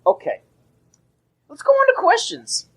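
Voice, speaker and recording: A man's voice: extremely high pitch, wildly swooping pitch, measured pace, creaky, fairly bright tone. A young man co-hosting a casual gaming podcast, rambling and often restarting his sentences. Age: young adult. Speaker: podcast co-host.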